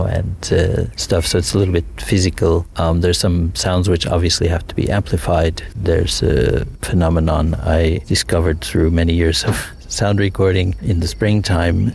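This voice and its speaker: Deep gravelly American man, unhurried. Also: a little mellow, slight background noise. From United States. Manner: thoughtful, rambling with fillers and restarts. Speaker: multimedia sound artist. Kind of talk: interview, casual explanation.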